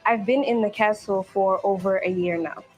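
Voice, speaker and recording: Earnest young woman, mid-pitched. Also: fairly even intonation, normal speed, soft background music, low-quality recording. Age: young adult. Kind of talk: testimonial interview.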